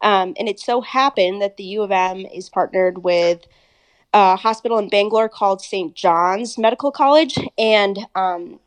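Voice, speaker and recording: A woman's voice: medium pitch, normal speed. Young woman, American accent, medical student guest, rambling, lots of fillers. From India. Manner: chatty, rambling with many fillers and restarts. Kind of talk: casual podcast chat.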